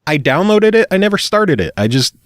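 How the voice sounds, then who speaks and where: A man's voice: high voice, sing-song, a little fast, no echo. Blunt swearing man, dry close sound. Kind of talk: casual chat.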